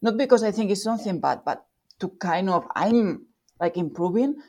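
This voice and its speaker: A woman's voice: mid-range voice, expressive, normal speed, a little mellow. A woman with a Spanish accent, a researcher being interviewed, explaining her work thoughtfully with fillers and restarts. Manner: thoughtful, with fillers and self-corrections. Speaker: guest researcher.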